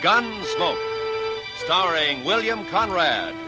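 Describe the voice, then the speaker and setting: A man's voice: high-pitched, big pitch swings, slow. An American man announcing in a scripted 1950s radio style. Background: music.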